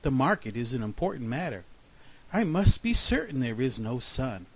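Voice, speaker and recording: A man acting out an excitable, boastful storybook groundhog. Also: medium pitch, moderate pace, breathy edge. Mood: self-assured. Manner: boastful, exclaiming. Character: excitable, self-important male groundhog forecaster. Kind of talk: animal fable storytelling.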